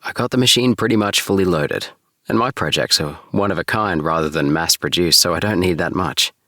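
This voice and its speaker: A man's voice: sits low, a little fast, sharp tone, no room sound, studio quality. A man reading an audiobook, voicing a breezy, teasing young woman with a lifted, playful voice.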